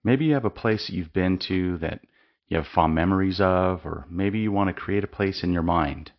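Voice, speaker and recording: A man leading a guided mindfulness meditation with slow, calm, soothing instructions and long pauses. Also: deep voice, creaky, highs cut off.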